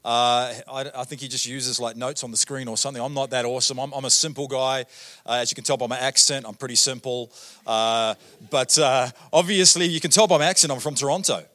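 Man, New Zealand accent, guest preacher, casual joking sermon, filler-heavy, mid-pitched voice. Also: fairly even intonation, a little fast, pushed and bright. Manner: chatty, joking, many fillers and "right?" tags. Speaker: guest pastor. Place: church auditorium.

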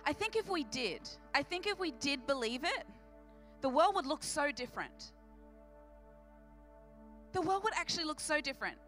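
A woman's voice: high voice, big pitch swings, normal speed, long pauses, fairly bright tone, soft background music. A woman with an Australian accent preaching to a church congregation in a big, emphatic voice, repeating phrases for effect. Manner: big, projecting voice. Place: church service.

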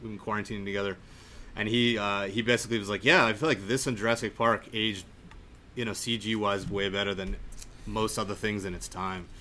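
A man's voice: fairly low pitch, fairly even intonation, fairly quick, bright, forceful tone. A man hosting a podcast, talking about film in a casual, effusive, rambling and informal way. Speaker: podcast host. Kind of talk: casual film discussion chat. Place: home, remote recording. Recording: clearly audible background noise.

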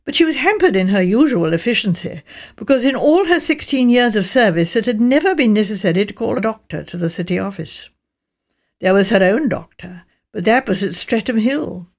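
A woman with a Received Pronunciation British accent, reading a mystery novel as scripted narration in a measured, precise way. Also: mid-range voice, expressive. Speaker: audiobook narrator.

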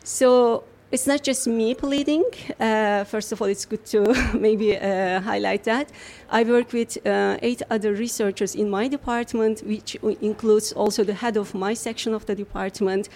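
A woman researcher speaking as a podcast guest. She explains things carefully and in a measured way, pausing on fillers, in a voice of middle pitch. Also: a little mellow. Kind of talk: podcast interview answers.

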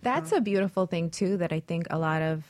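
A woman's voice: on the low side, lively intonation, a little fast, a little mellow, no room sound. A woman in casual, reflective conversation as part of a panel talk.

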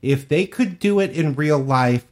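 A man's voice: on the high side, expressive, measured pace, darkish tone. A man co-hosting a podcast, speaking casually and informatively. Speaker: podcast co-host.

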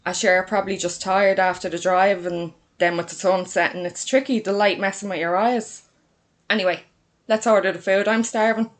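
A woman's voice: medium pitch, steady tone, moderate pace, close to an everyday voice. A woman voicing a welcoming, breezy, house-proud sister with an Irish accent in a story. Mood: uneasy, dismissive.

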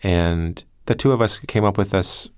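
A man with a deep voice speaks in a dry, close studio sound. He explains his production work in a reflective, thoughtful interview style, feeling his way through phrases. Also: moderate pace, smooth, no echo. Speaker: podcast creator and producer.

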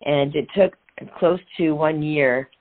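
An earnest, middle-aged woman tells her own story in short, reflective phrases with pauses. She comes through a thin, phone-like line that cuts away the fullness of her voice. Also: sits low. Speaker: guest, author and transformational coach. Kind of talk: candid personal story in a podcast interview.

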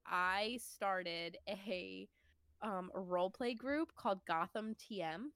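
A woman's voice: mid-range voice, slow pace, fairly bright tone, clear, resonant tone, no room sound, studio quality. A young woman co-hosting a podcast, nasal and congested from a cold, chatting casually and laughing often. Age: young adult. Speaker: podcast co-host. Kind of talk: casual chat.

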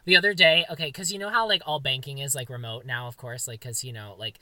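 A young man with a very high voice, co-hosting a casual chat and joking in a campy, playfully dramatic way. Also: fast talker, sharp tone, no echo. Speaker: podcast co-host.

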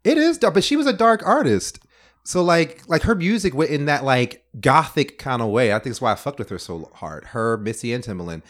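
A man's voice: medium pitch, sing-song, a little fast. A man in his thirties chatting casually as a podcast guest, laid-back, with frequent fillers and laughter. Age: 30s. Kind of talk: casual chat.

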